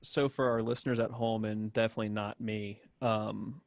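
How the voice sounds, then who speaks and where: A man's voice: on the low side, flat delivery, normal speed, no room sound, clean studio sound. A man in casual chat, joking in a self-deprecating way.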